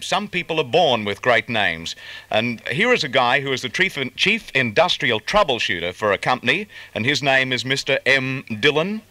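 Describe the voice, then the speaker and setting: A man's voice: mid-range voice, pushed and bright, no room sound. An Australian man presenting a 1970s commercial radio breakfast show as its host.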